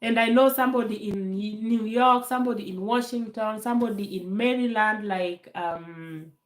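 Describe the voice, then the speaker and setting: A woman from Kenya hosting a casual live Q&A, calm and unhurried, laughing often, still getting over a bad cold. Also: medium pitch, echoey room, poor audio. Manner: calm, slow, laughs often, many fillers. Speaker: livestream host. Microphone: phone held to camera.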